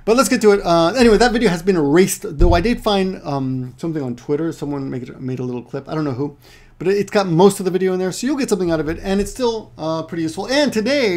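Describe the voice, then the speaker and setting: A man's voice: high voice, a little mellow. A middle-aged man from Peru who lives in Japan, giving a casual online Japanese lesson in a rambling way, correcting himself often and adding joking asides. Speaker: Japanese language teacher.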